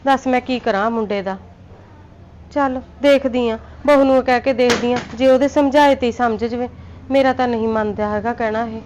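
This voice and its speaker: A woman's voice: a little high, steady tone, moderate pace, a little mellow, a little hiss or hum, lightly acted. A woman playing a remorseful mother-in-law who pleads with her son's wife and tries to soothe her. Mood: worried. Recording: faint music behind.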